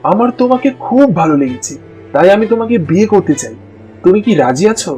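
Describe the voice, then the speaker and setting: A man's voice: high-pitched, very animated, fairly quick, mellow, soft background music. A man voicing a smitten young prince in a fairy-tale cartoon. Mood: earnest, wooing.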